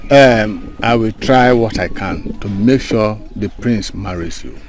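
A man's voice: fairly low pitch, slow. A man playing a sly, scheming father. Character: scheming father plotting with his daughter.

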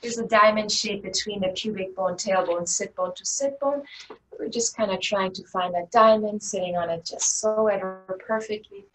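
A woman teaching a guided meditation in a gentle, unhurried, inviting way. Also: medium pitch. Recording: distant mic with faint background noise.

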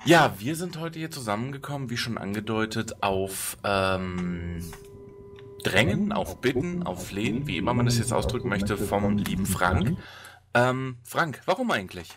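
A man's voice: lowish voice, sing-song, unhurried, fairly bright tone. Wry man hosting a casual podcast. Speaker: podcast host. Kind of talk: casual chat.

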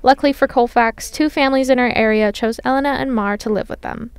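Smooth, dry teen girl voice-over. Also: a little high, fairly even intonation, quick pace, no room sound. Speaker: student reporter. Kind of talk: scripted news voice-over.

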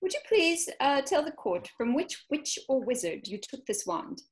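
A cold, accusing official , acted by a woman in a script read. She speaks in a fairly high voice, measured and formal. Her tone talks down to whoever she questions. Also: sing-song. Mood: formal, officious. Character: cold, accusing female government official running an interrogation. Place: home, video call.

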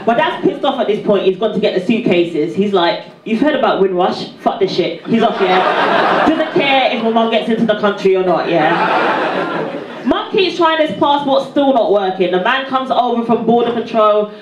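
A woman's voice: on the high side, lively intonation, normal speed, very noisy. A young woman from Barbados with a British accent, doing a stand-up set on a small club stage into a handheld mic, with a punchy delivery and teasing the crowd. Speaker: stand-up comedian. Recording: roomy club sound, far and dull. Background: loud crowd laughter.

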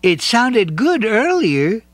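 A cheerful little girl in a storybook chatters brightly in a very high voice, as a man puts her on. Her speech jumps up and down with excitement. The sound is dry and close. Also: slow pace, no room sound, heavily acted. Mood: eager.

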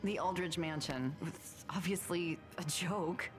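A woman's voice: lowish voice, measured pace, close to an everyday voice. A woman playing a flustered, skeptical scientist in a comedic game cutscene, indignant and pleading. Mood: reluctant. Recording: music under the dialogue. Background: music.

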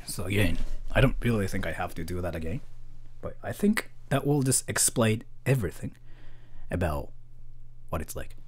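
A young man chatting casually on a livestream in a small bedroom, swinging from loud to muttering. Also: low-pitched, expressive, moderate pace, pauses often, slightly rough. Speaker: streamer.

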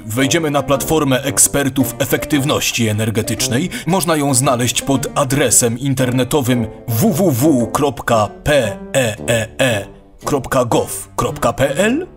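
An actor playing a patient but exasperated, practical neighbour who explains things emphatically in a radio play. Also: male voice, medium pitch, unhurried, over music, close to an everyday voice. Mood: persuasive. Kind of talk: scripted radio play dialogue.

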